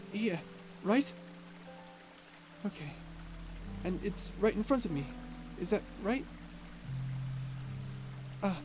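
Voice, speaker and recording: Voice actor as a shy, slow-talking octopus creature. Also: medium pitch. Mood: curious, careful. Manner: timid, stammering, hesitant. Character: shy, gentle, curious octopus traveller. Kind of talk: ASMR story roleplay acting.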